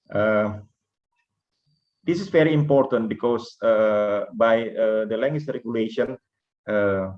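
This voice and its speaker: Indonesian-accented male seminar speaker, lowish voice, halting delivery with many "uh"s, dull lo-fi audio. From Manado, North Sulawesi, Indonesia. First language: Manado Malay. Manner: hesitant, many filled pauses and restarts. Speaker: seminar speaker, linguistics researcher. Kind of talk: academic seminar talk.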